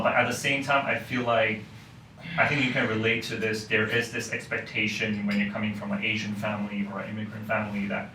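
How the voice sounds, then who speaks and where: A man from Hong Kong, a university lecturer, talking thoughtfully as a podcast guest with frequent fillers. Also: on the low side. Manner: thoughtful, many "um" and "you know" fillers. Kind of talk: casual podcast conversation. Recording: roomy, distant mic with faint noise.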